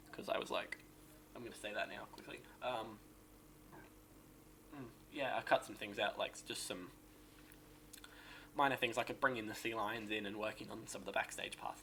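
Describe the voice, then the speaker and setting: A man with a sore, raspy voice giving casual, rambling commentary on a game build and correcting himself as he goes. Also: mid-range voice, a bit bright, a little hiss or hum. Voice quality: raspy, gravelly. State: sore, hoarse voice. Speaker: game streamer.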